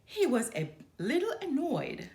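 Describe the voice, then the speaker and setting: Slow, animated woman reading a storybook. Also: high-pitched, roomy sound. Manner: expressive, deliberate. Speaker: storyteller, channel host. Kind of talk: children's picture book read-aloud.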